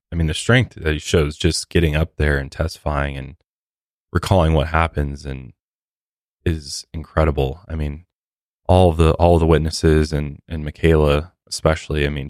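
A man's voice: very deep voice, dry recording, studio quality. A man hosting a true crime podcast, narrating in an earnest, sympathetic, conversational way.

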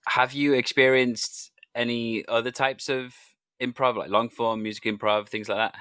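Relaxed Welsh-born host, dry sound. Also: male voice, medium pitch, normal speed, clear, resonant tone, dry recording. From Wales. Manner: relaxed, encouraging, asks open questions. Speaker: podcast host and primary school teacher. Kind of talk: casual interview questions.

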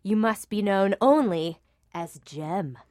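A woman playing a smooth, scheming record company president in a parody radio play. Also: mid-range voice, animated, slow, darkish tone, dry recording, clean studio sound. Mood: businesslike, scheming.

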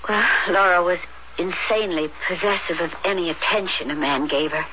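A woman's voice: on the low side, moderate pace, lightly acted. A woman playing an anxious, frank middle-aged mother in an old-fashioned radio drama, earnest, theatrical and confiding. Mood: worried, frank. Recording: dull, noisy old radio recording.

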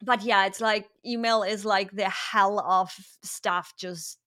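Bright-voiced German-accented woman host. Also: mid-range voice, steady tone. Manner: chatty, curious, quick asides. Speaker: podcast host. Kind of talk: casual interview chat.

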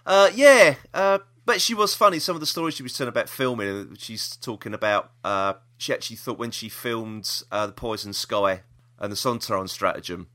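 A man's voice: mid-range voice, expressive, brisk, pushed and bright. A British man hosting a podcast, chatting casually about the news in a rambling, opinionated way. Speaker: podcast host.

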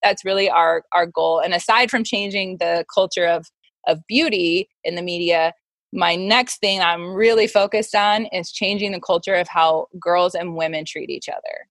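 A woman's voice: lowish voice, normal speed, fairly bright tone, no echo. Candid woman in her thirties, close dry sound. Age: 30s. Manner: candid, emphatic, peppers talk with "like" and acted-out quotes. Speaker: interview guest, modeling agency founder and mentor. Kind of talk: casual, conversational interview.

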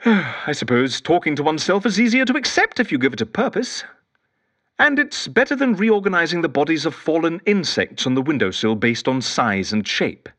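Male actor as lonely, theatrical British interviewer in an audio drama monologue; very animated intonation, dry sound. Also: a little high, moderate pace, no room sound. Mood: whimsical, wistful. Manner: theatrical, rambling, whimsical. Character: eccentric, chatty, lonely male interviewer, quarantined in a bunker. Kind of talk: audio drama monologue, talking to a tape recorder.

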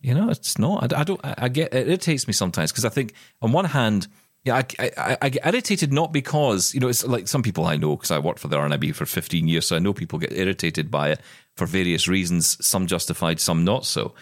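A man's voice: on the low side, expressive, fast talker, no room sound, studio quality. A middle-aged man co-hosting a podcast, talking in an impassioned, emphatic way with plenty of restarts. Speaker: podcast co-host. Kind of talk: casual chat.

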